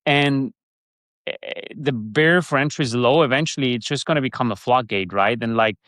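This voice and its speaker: A man's voice: medium pitch, brisk, a bit bright, no room sound, clean studio sound. A man from Switzerland with a Swiss German accent, a guest in a casual interview, rambling with restarts and fillers.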